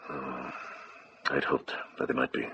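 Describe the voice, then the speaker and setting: A very deep, gravelly monk. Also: male voice, level tone, full character voice. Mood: tender, resigned. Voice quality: gravelly, husky. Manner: measured, probing, gentle. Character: observant, kindly older monk investigating a murder. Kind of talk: radio drama acting.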